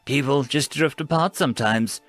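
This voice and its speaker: A man voicing a calm, shrewd woman prisoner in a story, soothing and gently probing. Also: fairly high pitch, a little fast, no echo, soft background music, pitched above the performer's own voice.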